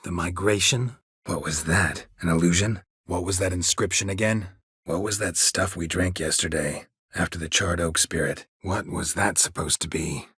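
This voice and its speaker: Grizzled game monster hunter, really raspy, super close dry sound, clipped deadpan lines, stoic and sarcastic. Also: male voice, low-pitched, moderate pace, no echo, exaggerated voice. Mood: dry, questioning. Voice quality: gravelly, husky rasp. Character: gruff middle-aged male monster hunter, stoic and sardonic. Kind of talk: video game character dialogue.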